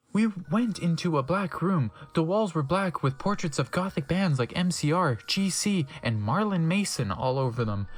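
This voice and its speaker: A man doing a comedic dramatic reading as narrator, mock-serious and breaking off into incredulous asides. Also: a little high.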